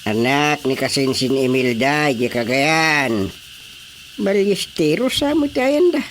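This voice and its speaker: A man's voice: fairly high pitch, expressive, slow pace, a bit bright, slight background noise. A man playing a jovial, wheedling middle-aged village chairman in a comic radio drama, with an Ilocano accent and exclamatory, theatrical delivery. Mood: chatty.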